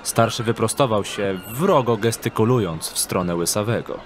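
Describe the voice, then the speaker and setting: A man's voice: lowish voice, moderate pace, noisy background. A man reading scripted narration for an audio drama as its storyteller. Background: background music and street ambience.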